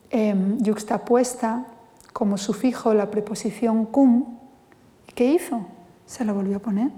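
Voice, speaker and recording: Spanish woman poet, lecture delivery, warm, mellow tone, reverberant hall. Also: fairly high pitch. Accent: Spain Spanish. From Spain. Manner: thoughtful, measured, lingers on words. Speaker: poet giving a talk. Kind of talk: reflective lecture with poetry reading.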